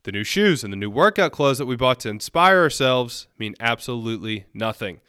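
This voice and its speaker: Bright-voiced man, nasal with a cold. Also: mid-range voice, sing-song, a little fast, clear, resonant tone, no echo, studio quality. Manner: upbeat, earnest, encouraging. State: has a cold, a bit nasal. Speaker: podcast host. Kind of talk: solo motivational podcast talk.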